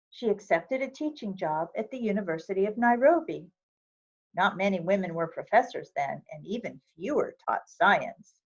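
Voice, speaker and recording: A woman reading a picture book aloud for children, with measured, expressive storytelling phrasing. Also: mid-range voice, muffled recording. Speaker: volunteer reader of a children's book.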